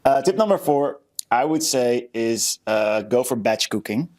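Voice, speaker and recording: A man's voice: mid-range voice, expressive, measured pace, a little mellow. A man from the Netherlands with a Dutch accent, co-hosting a podcast in casual chat, giving tips emphatically and repeating words. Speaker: podcast co-host.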